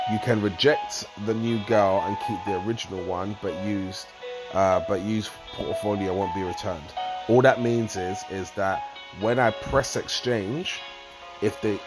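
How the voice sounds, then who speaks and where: A man with a deep, slightly croaky voice talks viewers through a game tutorial. He rambles casually and explains each step with plenty of fillers. Soft music plays faintly underneath. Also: poor audio. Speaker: gaming channel host.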